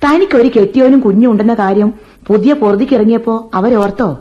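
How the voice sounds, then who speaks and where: A woman playing Meenakshi, a sharp-tongued, gossiping housemaid, in a radio play. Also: a little high, fast talker, lightly acted. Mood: gossipy. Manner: gossipy, wry, colloquial. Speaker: radio drama actor. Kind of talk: 1980s Indian radio play acting. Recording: dull old radio recording with faint music.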